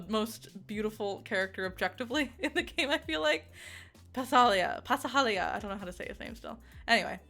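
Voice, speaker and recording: A young woman giving a casual, excitable game review, giggling often and rambling with fillers and restarts. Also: a little high.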